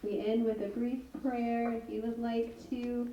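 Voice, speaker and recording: A woman's voice: fairly high pitch, level tone, measured pace, mellow, lo-fi sound. A woman leading a congregation, giving announcements and a closing prayer in an earnest way with many ums. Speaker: service leader. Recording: echoey room, far from mic, faint hiss.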